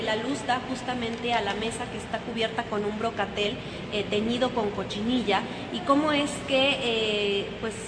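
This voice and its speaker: Female museum guide, Mexican Spanish accent, a bit high-pitched, halting explanatory delivery; big reverberant hall, noisy. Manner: explanatory, many hesitations and restarts. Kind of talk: guided gallery tour. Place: large echoing museum hall. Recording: echoey hall, loud background noise.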